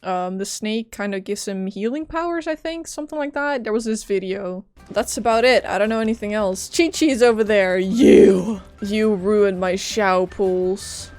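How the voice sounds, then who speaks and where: Casual woman streamer over soft music. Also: medium pitch, moderate pace, few pauses. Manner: wry, offhand asides. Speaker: streamer playing a video game. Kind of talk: casual gameplay commentary. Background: game music.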